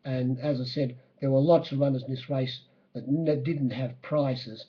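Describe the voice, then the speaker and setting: Croaky, halting Australian man. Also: medium pitch, fairly even intonation, muffled recording. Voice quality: croaky, husky. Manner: halting, hesitant, matter-of-fact. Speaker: racing bookmaker giving a race-day report. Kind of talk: spoken horse racing recap.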